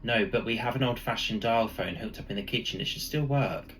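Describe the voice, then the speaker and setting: A man's voice: medium pitch, fairly quick, a bit bright, far from the mic, a little hiss or hum, natural voice, low-quality recording. A man voicing a puzzled, persistent husband calling customer service in a spooky story, earnest and insistent.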